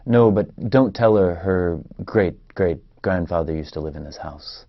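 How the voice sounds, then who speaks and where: A man's voice: low voice, a little slow, gravelly. An actor in a play reading, playing an anxious, protective man in an Israeli family, on a dull recording. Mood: cautious.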